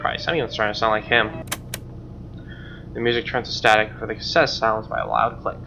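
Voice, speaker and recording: A man's voice: lowish voice, little pitch movement, quick pace, vocal fry. A man doing a let's play, reading visual novel narration aloud with casual commentary over game music, on a lo-fi mic.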